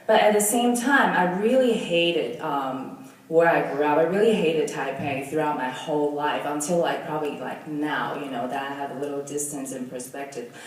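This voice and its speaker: Low-voiced woman presenter, echoey hall. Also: normal speed. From Taiwan. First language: Mandarin. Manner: hesitant, many ums and restarts. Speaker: designer giving a presentation. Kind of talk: presentation talk with slides. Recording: echoey hall, mic from far off.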